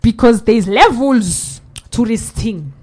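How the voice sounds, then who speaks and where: A South African woman hosting a radio show in a studio, reading listener comments with animated, laughing banter. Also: on the high side. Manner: animated, laughing, drawn-out emphatic repeats.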